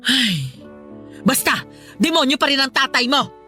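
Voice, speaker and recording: A woman's voice: high voice, big pitch swings, a little slow, sharp tone, full character voice. A woman with a Filipino accent playing a bitter, long-suffering middle-aged mother in a dramatic, grudging way for a Tagalog radio drama. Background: music.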